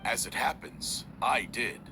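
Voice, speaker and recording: A man's voice: mid-range voice, expressive, a little slow, creaky, noisy background. An actor playing a helpful, matter-of-fact townsman in a video game.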